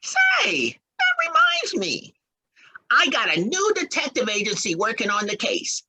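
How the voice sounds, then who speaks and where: A woman's voice: sits high, huge pitch swings, measured pace, vocal fry, pushed and bright, slightly rough, full character voice, rough recording. A woman voicing a naive, easygoing mama's-boy husband with exaggerated drawling delivery in an old-time radio drama. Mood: carefree cheer.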